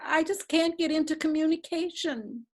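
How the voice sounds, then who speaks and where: A woman's voice: high-pitched, normal speed, slight background noise. A woman reading a widow who doubts her late husband's spirit is near, in a plaintive tone. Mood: doubtful. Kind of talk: readers theatre reading.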